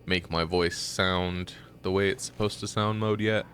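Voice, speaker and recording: A man's voice: sits low, measured pace, slight background noise. A male streamer giving casual, rambling gameplay commentary with a nasal, congested voice, as if he has just woken up. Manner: rambling, relaxed, many fillers.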